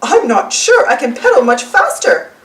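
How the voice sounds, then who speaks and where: A woman's voice: sits high, sing-song, moderate pace, fairly bright tone, roomy sound, far from the mic, slight background noise. A woman voicing a hesitant father pig in a children's storybook. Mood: tired. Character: hesitant, puffing father pig, adult male.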